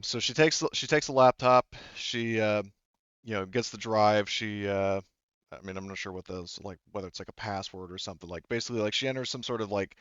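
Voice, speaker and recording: A man's voice: fairly low pitch, a bit bright, clear, resonant tone, no echo. A man with a clean, resonant voice acts as game master of a tabletop game. He improvises his narration, rambling and padding it with fillers. The audio is close and dry, a little muffled. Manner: hesitant, rambling, with many filler words.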